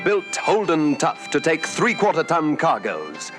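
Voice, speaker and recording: A man's voice: fairly high pitch, huge pitch swings, a little slow. A man reading a 1960s radio commercial in a punchy, emphatic way. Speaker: advert announcer. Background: music.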